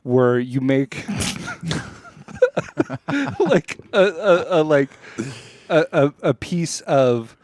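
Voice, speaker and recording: A man co-hosting a casual chat show, talking haltingly and repeating words as he thinks aloud. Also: a little high, expressive, darkish tone. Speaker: podcast co-host introducing a radio play.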